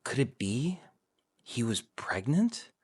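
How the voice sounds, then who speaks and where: A man's voice: medium pitch, big pitch swings, slow pace, slightly rough, no room sound, studio quality. A man reading scripted fan fiction as the narrator, telling the story in prose.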